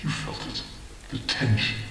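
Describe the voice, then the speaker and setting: A man's voice: measured pace, sharp tone. A man playing an eerie creature in an audio drama, a coaxing and insinuating tempter. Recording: cavernous long reverb, distant, noisy.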